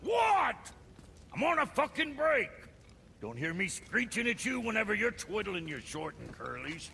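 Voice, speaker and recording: An actor playing a foul-mouthed, cantankerous and sarcastic grouch in a fantasy game, irritable and sardonic. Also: male voice, high voice, very animated, measured pace, creaky, pushed and bright, in a large hall, slight background noise, exaggerated voice. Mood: indignant.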